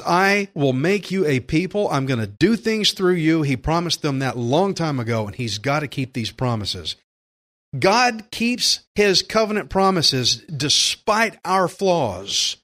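A man preaching a sermon, earnest and emphatic, slowing down to stress key phrases. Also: medium pitch, a bit bright. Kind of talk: sermon with scripture readings.

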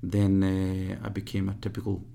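A man in his fifties from Pakistan, reminiscing in an interview in a halting way full of fillers. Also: sits low, completely flat delivery, gravelly, mellow. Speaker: guest.

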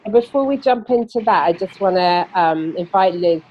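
A woman with a lowish voice hosts a podcast in a loose, chatty way. She stops and restarts often and fills gaps with ums. The sound is dull and lo-fi, like an online call. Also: lively intonation, moderate pace, a little hiss or hum. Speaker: podcast host. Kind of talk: casual conversational interviewing. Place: London, UK.

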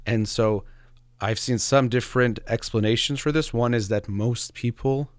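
A man's voice: mid-range voice, moderate pace, no echo. A man hosting a radio show, talking reflectively on his own in an explanatory, slightly hesitant way, close to the microphone. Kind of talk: reflective solo talk show monologue.

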